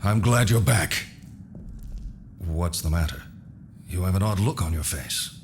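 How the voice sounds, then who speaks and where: An actor playing a helpful, knowing male enchanter who explains things in a measured way in a video game cutscene. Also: low voice, a little hiss or hum. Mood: knowing, calm.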